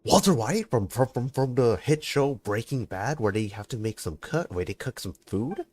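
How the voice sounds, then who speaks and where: A man streaming a video game, casually reacting and joking with loud outbursts and swearing. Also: medium pitch, animated, normal speed, no echo. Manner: playful and swearing, reacting loudly. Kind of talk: casual gameplay commentary.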